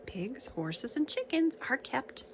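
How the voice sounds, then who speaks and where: A woman's voice: sits high, very animated, slight background noise. A woman reading a picture book aloud for young children, instructive, with casual asides and questions about the pictures. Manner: instructive, pausing to point out pictures.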